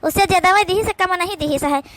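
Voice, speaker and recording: A woman plays an imperious young village daughter-in-law from a comedy cartoon. The pitch is extremely high and the accent Awadhi. She scolds and gives orders like someone used to being served. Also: fast, a bit bright. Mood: haughty, scolding.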